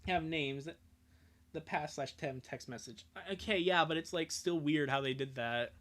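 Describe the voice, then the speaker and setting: A man's voice: fairly high pitch, a little slow, a bit bright. A man doing a casual live comic reading with joking asides, rambling and swearing and breaking off mid-sentence.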